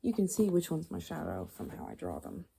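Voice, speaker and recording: A woman doing soft, rambling ASMR, musing hesitantly as she thinks aloud, her voice fading toward whispers. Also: low-pitched, wildly swooping pitch, fairly quick, creaky, husky. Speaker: ASMR video creator.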